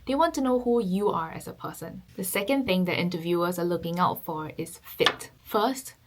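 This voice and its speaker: Woman from Singapore, 20s, PhD vlogger; earnest explainer, slightly low voice. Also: normal speed, background noise. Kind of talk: advice talk to camera. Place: office.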